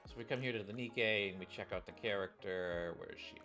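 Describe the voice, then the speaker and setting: A man's voice: lowish voice, a little fast, bright, forceful tone. A man who streams games, speaking in an informal, casual commentary style. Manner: informal, rambling, addresses viewers. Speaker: gaming streamer. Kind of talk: casual game commentary and reading aloud of story text. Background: game music.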